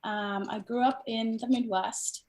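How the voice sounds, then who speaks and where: A woman's voice: fairly high pitch, fairly even intonation, a little slow, a little mellow, a little hiss or hum. Young woman student, hesitant and upbeat. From India. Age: young adult. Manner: hesitant, filler-heavy, upbeat. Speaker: medical student interviewer. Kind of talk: casual interview chat.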